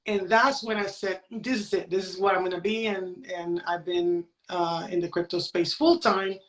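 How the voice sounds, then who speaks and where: A woman's voice: lowish voice, little pitch movement, normal speed, poor audio. A woman, explaining things conversationally as a podcast guest and checking in with "right?". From San Juan, Puerto Rico. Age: late 40s. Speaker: podcast guest, crypto educator. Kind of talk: casual conversational interview.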